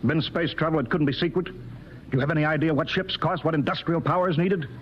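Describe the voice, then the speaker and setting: A man's voice: on the high side, steady tone, quick pace, muffled recording, a little hiss or hum, lightly acted. An actor playing an authoritative, skeptical male spaceship captain in an old-time radio drama. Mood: insistent. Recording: old radio broadcast, music behind.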